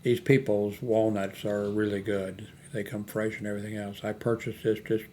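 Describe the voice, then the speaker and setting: An older man with a fairly low voice talks through products on his homemade channel. He is hesitant and unscripted, thinking aloud and often breaking off. There is some noise behind his voice. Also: flat delivery, normal speed, dark tone. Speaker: YouTube host. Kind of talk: rambling, unscripted screen-browsing product chat. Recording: noticeable background noise, homemade recording.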